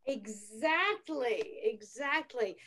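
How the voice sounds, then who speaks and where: Woman host, high voice, enthusiastic, chatty, many fillers. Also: animated, very slow, over a noisy crowd. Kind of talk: casual interview chat.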